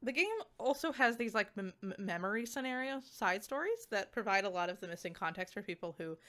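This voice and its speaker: A woman's voice: medium pitch, animated, fairly bright tone. Female reviewer-streamer, mid-range voice, informal and conversational, stumbling through filler-heavy, unscripted-sounding commentary. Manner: informal, halting, filler-heavy speech with frequent "like". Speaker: video game reviewer and streamer. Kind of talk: casual, chatty spoken review with slides.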